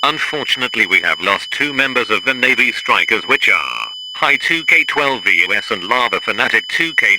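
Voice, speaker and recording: A man reading a scripted emergency broadcast announcement in a formal, official manner. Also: on the low side. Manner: formal, official-sounding delivery. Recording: noisy alert broadcast with hum.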